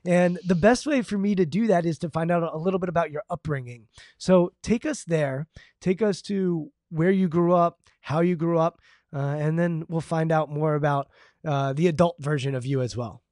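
A man's voice: a little high, normal speed, a little mellow, no echo, studio quality. A man hosting a podcast interview, introducing his guest with enthusiasm before asking about her upbringing. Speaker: podcast host.

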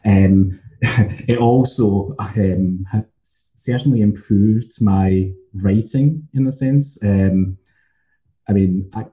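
Scottish male lecturer, measured and thoughtful; very dark, low-pitched voice. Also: rough recording. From Scotland. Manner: measured, thoughtful, frequent ums. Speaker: academic lecturer. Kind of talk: casual academic conversation.

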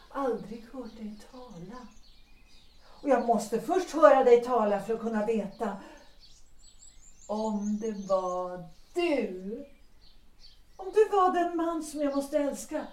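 A woman's voice: a little high, animated, long pauses, a little mellow, natural voice. Woman acting yearning former opera singer, echoey room. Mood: tender, reminiscing. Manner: earnest, romantic, dramatic phrasing. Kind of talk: period stage-play audio drama. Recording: roomy, distant from the mic.

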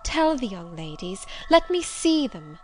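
A woman's voice: mid-range voice, normal speed, soft background music, close to an everyday voice. A woman voicing an exhausted young female wanderer in an audiobook, desperate, earnest and imploring. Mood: pleading, desperate. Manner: imploring, formal wording. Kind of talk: audiobook character voice.

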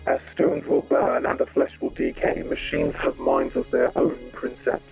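Rumbling, abyssally deep machine being. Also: male voice, completely flat delivery, moderate pace, exaggerated voice. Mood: grim, cryptic. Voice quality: gravelly, rumbling. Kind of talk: science fiction audio drama acting. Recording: narrow radio-like filter, music behind.

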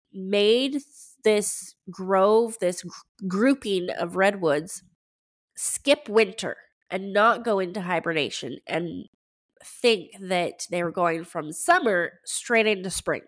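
A woman in her thirties hosting a solo podcast, chatty and rambling, her voice stuffy from a head cold. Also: mid-range voice. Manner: chatty and rambling, with many fillers and restarts. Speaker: podcast host. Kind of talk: casual solo podcast talk.

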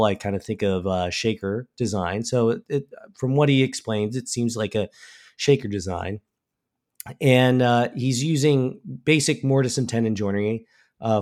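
A man's voice: on the low side, darkish tone. A man co-hosting a woodworking podcast, congested and losing his voice, talking casually with lots of ums and restarts. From Alabama.

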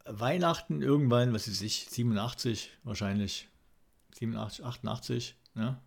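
Gravelly man in his forties, chatting casually. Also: medium pitch, moderate pace. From East Germany. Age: 40s. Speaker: podcast co-host. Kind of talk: casual chat, anecdotes.

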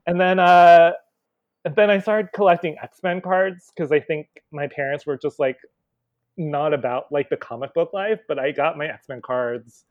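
A man in his thirties, a podcast guest, telling a lively childhood story with laughs. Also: a little high, unhurried, dry recording, lo-fi sound. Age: 30s. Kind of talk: casual podcast chat.

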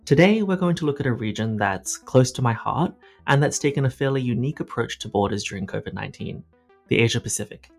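Aussie guy hosting a policy podcast, normal mid pitch, bit of music behind. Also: expressive, fast, gravelly. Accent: Australian. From Australia. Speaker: podcast host, policy analyst. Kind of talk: scripted podcast introduction and interview.

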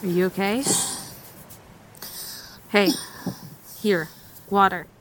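A woman's voice: medium pitch, steady tone, takes it slowly, slight background noise, lightly acted, low-quality recording. A woman playing a tough, blunt female survivor with a curt, scornful way of talking in an audio drama. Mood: scornful, wary.

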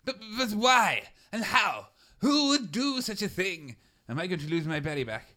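A man's voice: high-pitched, big pitch swings, moderate pace, sharp tone, exaggerated voice. A man voicing a bumbling, sarcastic male presenter who stammers and sounds exasperated.

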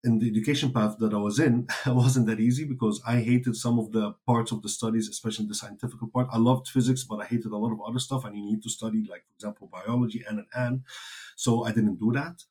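A man's voice: fairly low pitch, fairly even intonation, fast, a little mellow. A man whose first language is Dutch, answering interview questions in casual talk, with many fillers and restarts. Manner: rambling with many fillers and restarts, laughs easily. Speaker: interview guest.

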